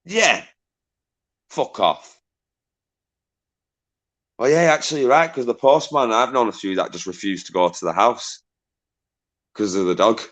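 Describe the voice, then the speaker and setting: A man hosting a casual live stream, swearing freely, laughing a lot and bantering with callers in a loud voice. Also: measured pace, long pauses. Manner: says he has a deep, loud voice. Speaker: livestream host.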